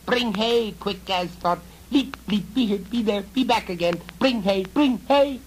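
A man voicing an old goblin grandmother in a fairy tale, screaming her commands in a shrill voice. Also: a little slow, a little hiss or hum. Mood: screeching.

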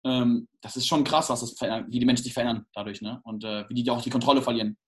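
A man's voice: mid-range voice, steady tone, fast talker. A young man from England, the guest in a casual interview, talking hesitantly with many restarts and fillers.

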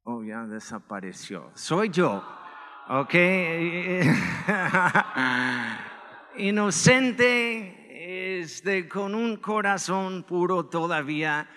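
A man with an American accent, a pastor giving a relaxed, joking sermon with personal testimony and many filler words. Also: high voice, very slow. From United States. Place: church hall. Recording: echoey church hall.

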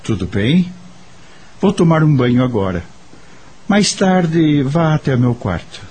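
An actor with a Brazilian accent playing a serene, kindly doctor in a radio soap opera, speaking in a measured, declamatory way. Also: male voice, a little high, lively intonation, noisy background. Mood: matter-of-fact. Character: calm, kindly doctor, a serene male spiritist.

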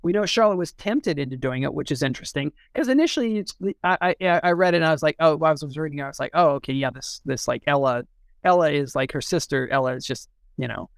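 A man in a casual literary discussion, thinking aloud in a halting way and often repeating and restarting his phrases. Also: on the high side, creaky, clear, resonant tone, dry recording. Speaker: podcast discussion co-host.

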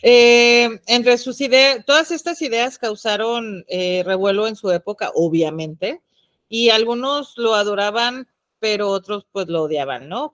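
A woman's voice: mid-range voice, a bit bright, dry recording. A woman with a Mexican accent co-hosting a podcast, talking in a chatty, playful way with slangy asides. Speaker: podcast co-host. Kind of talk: casual podcast chat about the occult.

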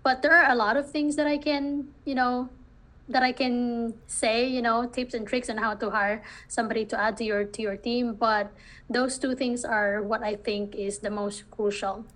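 Woman, slightly high voice, full of fillers. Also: fairly even intonation, moderate pace, a bit bright, poor audio. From Philippines. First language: Filipino language. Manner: hesitant, many restarts and "you know" fillers. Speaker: virtual assistant business owner. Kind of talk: interview answers.

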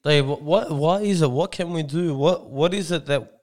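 A man's voice: on the high side, normal speed, darkish tone. A man co-hosting a casual discussion, posing and rephrasing probing questions. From Australia, Lebanese background. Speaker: podcast co-host.

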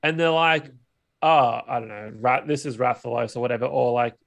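A man's voice: medium pitch, moderate pace, creaky. A man co-hosting a podcast, discussing films casually in an informal, questioning way. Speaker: podcast co-host.

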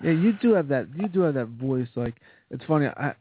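A man co-hosting a casual sports radio chat, talking in excited bursts and repeating his phrases. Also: mid-range voice, fairly quick, slightly rough, no echo. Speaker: radio co-host. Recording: dry phone-line radio sound.

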